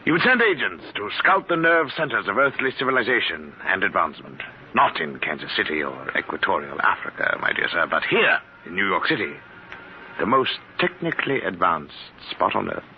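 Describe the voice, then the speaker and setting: An actor playing a precise, secretive, wealthy eccentric gentleman with formal, deliberate diction in an old radio drama. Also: low voice, big pitch swings, highs cut off. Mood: insistent, precise. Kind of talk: 1950s radio drama acting. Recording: scratchy band-limited old radio broadcast.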